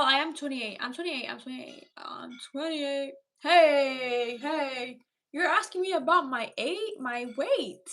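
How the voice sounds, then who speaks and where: Bright high-voiced chatty young woman. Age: 20s. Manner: animated, chatty, repeats herself for emphasis. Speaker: livestream host chatting with viewers. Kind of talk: casual chat.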